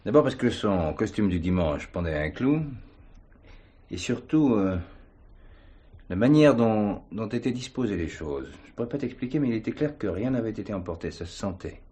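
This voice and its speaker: Actor as a low-voiced, reflective police commissioner. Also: male voice, lively intonation, normal speed, dark tone, close to an everyday voice. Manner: hesitant, reflective, conversational. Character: dogged, deliberate police commissioner following his hunches. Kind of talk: French television drama acting.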